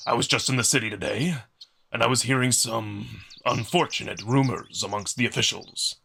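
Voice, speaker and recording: A man's voice: medium pitch, moderate pace, gravelly, bright, forceful tone, slight background noise. A man voicing a stern old wolf emperor who speaks formally and with menacing restraint.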